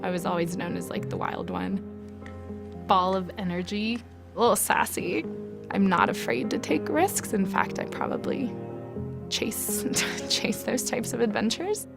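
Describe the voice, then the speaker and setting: Woman entrepreneur, Colombian-born, candid personal storytelling, a touch of fry, music bed under her. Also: medium pitch, unhurried. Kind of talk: documentary interview.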